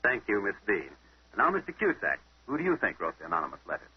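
A man's voice: on the low side, huge pitch swings, fast talker, husky, no echo, full character voice. An actor playing a confident amateur detective who methodically questions and explains his deductions in 1940s radio mystery style. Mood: hosting, courteous. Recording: muffled old-time radio broadcast.

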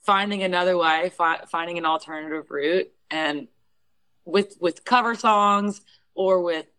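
Young woman, low-ish voice, lively storyteller, dry close recording. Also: unhurried, clean tone, no echo. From Houston, Texas. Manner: lively storyteller who acts out what others said. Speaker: guest singer. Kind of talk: casual interview storytelling.

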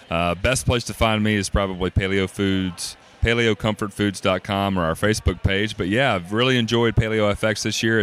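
A man with a low voice speaks as an easygoing guest, with dry humor at his own expense. He was recorded on a busy expo floor. Faint crowd noise sits behind him. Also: a bit bright. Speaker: guest, food business owner. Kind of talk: casual in-person interview. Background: crowd ambience.

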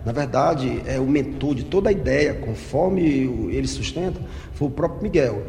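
A male police chief speaking with measured, hesitant official phrasing. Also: mid-range voice, lively intonation, gravelly, darkish tone. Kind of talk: interview soundbite. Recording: roomy reverberant office, faint noise.

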